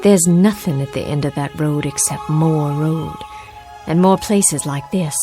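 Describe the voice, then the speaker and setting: An actress playing a lonely, sick, grieving war widow in a radio drama, halting, emotional and pleading. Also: female voice, low voice, over music. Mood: insistent.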